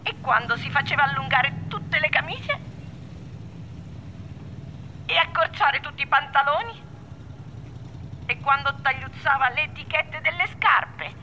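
High, sharp, rattled woman. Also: expressive, a little hiss or hum, full character voice, low-quality recording. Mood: defensive, rattled. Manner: halting, repetitive, evasive. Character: rattled, defensive woman gossiping about someone close. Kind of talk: radio drama, one-sided phone call.

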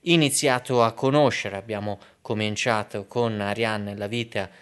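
Low-voiced man hosting radio. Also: fairly even intonation, normal speed, a bit bright, smooth. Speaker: radio host. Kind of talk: radio programme introduction and interview.

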